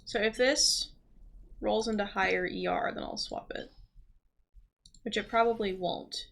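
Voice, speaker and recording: A woman giving casual, rambling commentary on a live gaming stream, thinking aloud in an excitable, giggly way. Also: medium pitch, a little slow, gravelly. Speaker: livestreamer playing a role-playing game.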